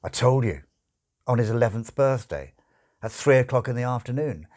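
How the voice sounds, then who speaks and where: A man voicing a suave, sardonic male demon in an audiobook, urgent and brooding, with emphatic, drawn-out phrasing. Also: mid-range voice, expressive, a little mellow, voice pushed up, natural voice. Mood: explanatory, ominous.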